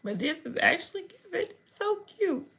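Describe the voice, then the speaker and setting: A young woman with a hoarse, gravelly voice talks loosely and chattily to her live viewers, drifting from topic to topic. Her tone is bright and a little sharp, sitting at an ordinary pitch. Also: big pitch swings, moderate pace. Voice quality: low, slightly deep voice. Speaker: makeup streamer. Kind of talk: casual livestream chat reading comments.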